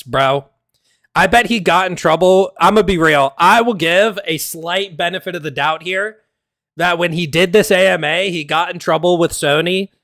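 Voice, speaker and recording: A man streaming and ranting casually, heated and exasperated, swearing often, his voice going hoarse. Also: sits high, a bit bright, no room sound, clean studio sound. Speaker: game streamer. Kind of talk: casual livestream rant.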